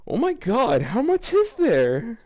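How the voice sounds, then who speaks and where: A man's voice: very high-pitched, huge pitch swings, takes it slowly, darkish tone. A man hosting a casual read-aloud of fan fiction, breaking off for exasperated, joking commentary. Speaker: host reading fan fiction aloud with reactions.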